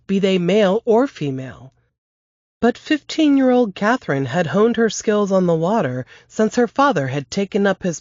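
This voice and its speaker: A woman reading aloud from her novel in a steady, measured narrating voice. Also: lowish voice, no room sound, lo-fi sound. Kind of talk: audiobook-style novel reading.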